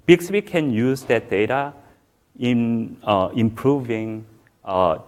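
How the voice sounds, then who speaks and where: A man in his forties with a Korean accent, a native Korean speaker giving a halting technical talk on a conference stage, with many fillers. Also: mid-range voice. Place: large conference hall stage.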